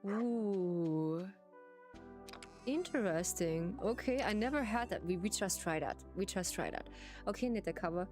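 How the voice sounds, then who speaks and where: A woman streamer with a German accent, playfully chatting to viewers in simple, halting English while she plays a farming game. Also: mid-range voice, expressive, music in the background.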